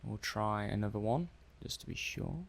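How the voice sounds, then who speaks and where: A man's voice: lowish voice, animated, moderate pace, creaky. A man playing a mild-mannered, puzzled robot-testing technician in an ASMR roleplay, hesitant and muttering to himself. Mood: businesslike. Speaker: roleplay performer.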